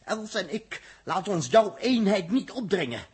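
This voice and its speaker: An actor playing a defiant astronaut in a 1960s radio drama, urgent and bossy, prone to angry outbursts. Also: male voice, high-pitched, sing-song, moderate pace, breathy edge, exaggerated voice. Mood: tense, hushed. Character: defiant male astronaut, Dirk.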